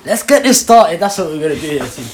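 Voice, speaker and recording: Brief: young lad hosting a chat, quick and lively, slightly high voice, echoey room with faint hiss. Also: male voice. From Somalia. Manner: animated, laughing, quick-fire banter. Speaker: podcast host. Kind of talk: casual chat.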